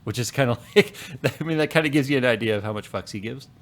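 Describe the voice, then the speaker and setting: A man's voice: medium pitch, fast talker. A man in his thirties hosting a podcast, chatting casually and telling stories with a chuckle. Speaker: podcast host. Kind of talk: casual chat.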